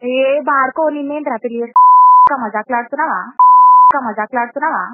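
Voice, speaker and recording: A woman's voice: high voice, huge pitch swings, brisk, noisy background. A woman on a phone call, angrily swearing at and threatening the caller. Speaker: prank call target. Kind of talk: angry phone argument. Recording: tinny phone line.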